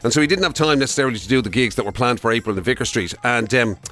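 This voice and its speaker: A man's voice: mid-range voice, very fast, pushed and bright. A man with an Irish accent co-hosting a podcast, telling comic anecdotes in casual, teasing chat. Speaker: podcast and radio co-host.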